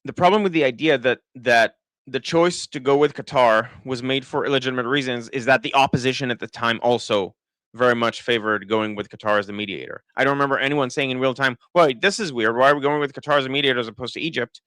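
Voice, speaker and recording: Israeli guy who sounds american, crisp clear voice, rambling live commentary w lots of ums. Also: mid-range voice, quick pace, fairly bright tone, no echo. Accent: American-sounding English. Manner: thinking aloud, many restarts and fillers. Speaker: political commentator. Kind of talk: live-stream commentary reading chat.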